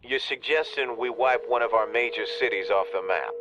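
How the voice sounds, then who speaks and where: An actor playing a weary, bitter American president making a grave decision. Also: male voice, mid-range voice, moderate pace, music in the background, close to an everyday voice.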